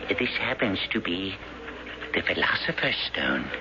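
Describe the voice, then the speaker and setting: An actor playing an eccentric, penniless, earnest philosopher in a radio drama, hesitant, pedantic and formal in speech. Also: male voice, deep voice, very animated, a little slow, creaky, full character voice. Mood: earnest, proud. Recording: muffled, noisy old radio recording.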